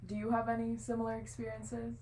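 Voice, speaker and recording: A woman's voice: on the high side, completely flat delivery, fast talker, darkish tone, rough recording. A young woman from Singapore, a guest in a casual interview, answering thoughtfully and hedging often.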